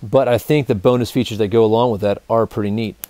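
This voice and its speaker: A man's voice: lowish voice, steady tone, fast, mellow. A man in his thirties giving an informal, colloquial product demonstration to camera as the host of a gear review show. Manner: informal, colloquial, addressing viewers as guys. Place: indoor studio with backdrop, dry sound.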